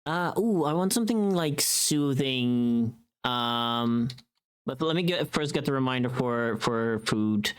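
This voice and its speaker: Playful woman streamer with very animated pitch. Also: slow, smooth. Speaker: livestream host. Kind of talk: casual livestream chat.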